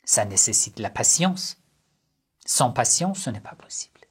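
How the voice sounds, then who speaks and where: A man teaches a religious lesson at a very slow, deliberate pace, breaking his points into short phrases. He keeps asking rhetorical questions and then answers them. His pitch sits in an ordinary middle range. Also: sing-song, slightly rough, in a whisper. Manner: measured, short phrases with pauses.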